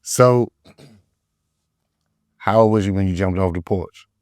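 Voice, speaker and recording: A man's voice: sits low, measured pace, a little mellow, no echo, studio quality. A man hosting an interview podcast, talking loose and streetwise, swearing freely. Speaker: podcast host. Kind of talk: casual interview chat.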